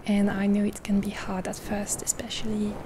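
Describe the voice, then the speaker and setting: A woman speaking in a gentle, half-whispered ASMR roleplay, rambling softly and reassuringly with pauses, close to the mic. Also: medium pitch, completely flat delivery, noisy background. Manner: caring, reassuring. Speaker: ASMR creator. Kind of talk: soft-spoken ASMR roleplay.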